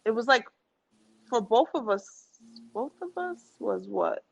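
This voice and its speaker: A woman's voice: on the high side, huge pitch swings, a little slow, breathy edge. A woman in a casual, conversational travel chat with a friend, often repeating phrases for emphasis. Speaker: podcast speaker. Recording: dull lo-fi sound.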